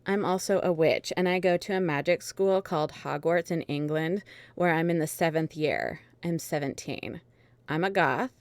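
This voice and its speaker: A woman's voice: low voice, dry recording. A woman reading fan fiction dramatically as a melodramatic, self-absorbed teenage goth vampire witch.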